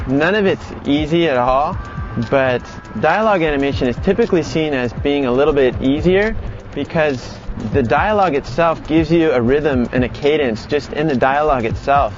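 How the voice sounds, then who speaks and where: Male instructor over clear background music. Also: fairly high pitch, normal speed, dull audio. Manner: conversational, explanatory. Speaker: animation acting instructor. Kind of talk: explanatory online lesson.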